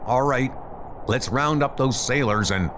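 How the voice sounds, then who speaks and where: A man's voice: mid-range voice, unhurried, noisy background. A man performing a dramatic comic dub. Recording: loud background music.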